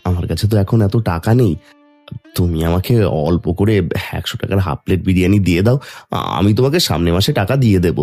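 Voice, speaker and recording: A man playing a poor, gentle, doting village father in a Bengali cartoon, pleading and humble. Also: low voice, fast. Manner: pleading, humble, soft-hearted. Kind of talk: Bengali fairy tale cartoon dubbing. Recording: music under the voice.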